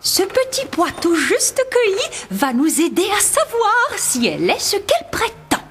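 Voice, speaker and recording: Shrill, grand cartoon queen. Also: huge pitch swings, unhurried, fairly bright tone, a little hiss or hum, exaggerated voice. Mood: sly, grand. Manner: grand, dramatic, coaxing. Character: theatrical, haughty, scheming storybook queen and mother. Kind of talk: animated fairy-tale dialogue.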